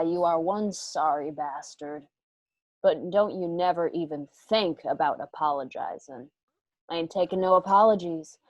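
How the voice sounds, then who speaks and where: A woman's voice: on the low side, darkish tone, dry recording, poor audio. An American woman playing a vengeful, coolly cruel outlaw in a Western radio drama, taunting with folksy threats. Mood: vengeful, grim.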